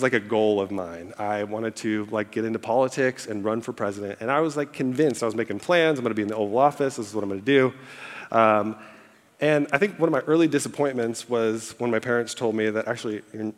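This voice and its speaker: A man in his thirties, born in Egypt and raised in America, giving a candid, self-deprecating farewell talk to a congregation. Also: fairly low pitch. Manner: candid, self-deprecating storytelling with frequent fillers. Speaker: church staff member giving a farewell speech. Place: church auditorium on stage. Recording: long reverb of a large hall. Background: audience laughter.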